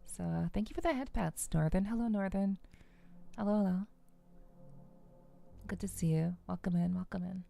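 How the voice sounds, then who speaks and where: A woman hosting a livestream, chatting casually and softly with her viewers in a relaxed, friendly way. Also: lowish voice, normal speed, gravelly, long pauses. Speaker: livestream host.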